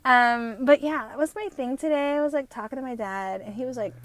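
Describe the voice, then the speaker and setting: A woman in her fifties with a New Jersey accent at times, hosting an unscripted podcast monologue, talking fast, swearing freely and tagging her points with "right?". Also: high-pitched. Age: 50s. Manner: fast-talking, profane and rambling; emphatic, with frequent tag questions. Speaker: podcast host.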